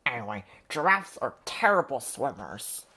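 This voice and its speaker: A man's voice: mid-range voice, sing-song, moderate pace, sharp tone, husky, exaggerated voice. Guy doing a nasty croc from a kids book, snappy and curt, mean.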